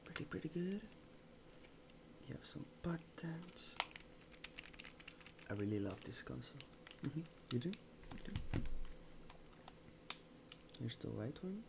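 A young man plays a store clerk in a soft-spoken ASMR roleplay. He talks very slowly and quietly, in short phrases with pauses. The recording has clear background noise. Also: a little high, big pitch swings. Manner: slow, hushed, with pauses. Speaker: ASMR creator playing a game store clerk. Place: small room at a desk, indoors.

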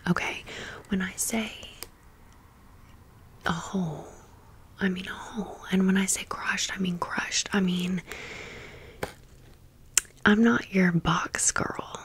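A young American woman speaks in a breathy whisper, taking her time. She tells a casual ASMR story close to the mic, rambling and dropping in sassy, emphatic asides. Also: mid-range voice, fairly even intonation, slow pace, long pauses, a bit bright. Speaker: ASMR video host.

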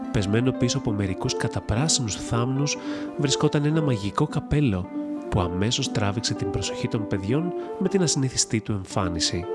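A man reading scripted fairy-tale narration as a storybook narrator. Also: medium pitch. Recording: music bed behind the voice. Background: instrumental music.

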